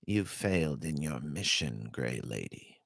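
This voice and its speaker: Man voicing a cold, papery old woman, hushed. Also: extremely low pitch, big pitch swings, vocal fry, slightly rough, dry recording, clean studio sound, voice pushed down, exaggerated voice. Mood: cold, quiet. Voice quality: soft, papery. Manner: cold, formal, deliberate. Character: cold, menacing old woman assassin leader. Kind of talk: storybook character reading.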